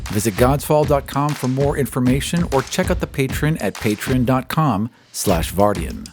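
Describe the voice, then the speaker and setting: A man's voice: mid-range voice, lively intonation, moderate pace. Male game master narrating over music. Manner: vivid, dramatic scene-setting in present tense. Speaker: game master, podcast host. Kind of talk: improvised tabletop game narration.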